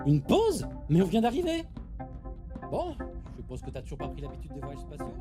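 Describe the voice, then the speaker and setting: A woman's voice: deep voice, wildly swooping pitch, music in the background. Woman as a bossy space captain. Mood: kindly. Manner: commanding, brisk orders. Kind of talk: audio drama acting.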